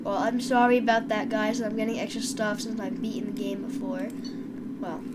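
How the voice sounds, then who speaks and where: A woman's voice: medium pitch, level tone, moderate pace, heavy background noise. A woman with a stuffy voice from a cold, giving casual video game commentary and stumbling over words as she reads aloud. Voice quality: stuffy, congested. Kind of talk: casual let's play commentary, reading on-screen text aloud. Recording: loud game music behind voice.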